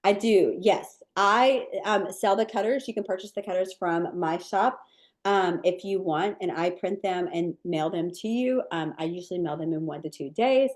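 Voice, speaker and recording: A woman with a low voice, a cookie decorating teacher answering viewer questions in a live webinar, chatty and relaxed with many ums and likes. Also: moderate pace.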